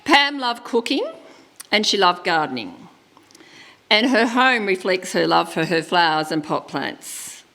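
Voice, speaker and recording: A woman reading a eulogy at a church funeral service in a gentle, measured way, speaking into a lectern microphone. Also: fairly low pitch, very animated. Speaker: funeral celebrant.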